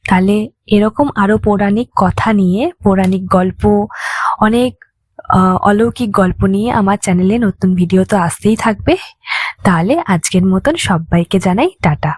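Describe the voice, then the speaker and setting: A woman's voice: mid-range voice, no echo. Chatty woman host in a dry room. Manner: informal, chatty, addressing viewers as friends. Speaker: channel host. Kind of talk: casual address to viewers.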